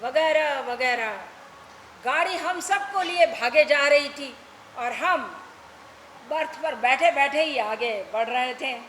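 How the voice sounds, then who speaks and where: A woman with a very high, expressive voice narrates a story theatrically on stage. Her tone is bright and forceful. The hall adds long reverberation around her dramatic delivery. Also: slow pace. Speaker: stage performer. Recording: echoey hall, noisy distant stage recording.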